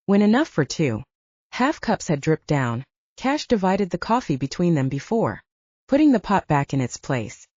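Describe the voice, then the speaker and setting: Female narrator for fiction audiobook, breathy and animated in pitch, scripted read. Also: moderate pace, no room sound, highs cut off.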